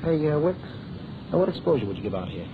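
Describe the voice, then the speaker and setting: A man's voice: fairly high pitch, big pitch swings, fast talker. An actor playing a nervous, wisecracking American newsreel cameraman, hesitant and slangy, in vintage radio drama style. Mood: brisk. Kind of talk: 1930s-40s radio acting. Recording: muffled, noisy old radio recording.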